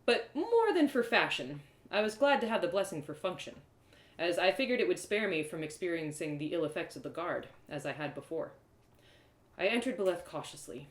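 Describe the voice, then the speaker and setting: A woman giving an expressive, theatrical reading of a first-person fantasy story as a storyteller. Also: lowish voice, brisk. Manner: theatrical, dramatic phrasing. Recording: roomy echo.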